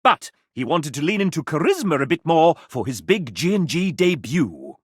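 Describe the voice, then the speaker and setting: A man voicing a sassy, pompous, playful gentleman who hosts and narrates a video game in a showy, theatrical way. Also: on the high side, normal speed, slightly rough, dry recording, clean studio sound. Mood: wry narration. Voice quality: animated theatrical delivery. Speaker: game show style host and narrator.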